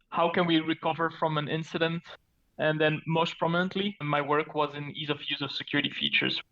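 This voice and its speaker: Guy from belgium explaining tech stuff on a podcast, bright fairly high voice, kinda muffled audio. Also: creaky. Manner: earnest, explanatory, with fillers like "so" and "basically". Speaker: podcast guest, security architect. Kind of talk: casual technical interview.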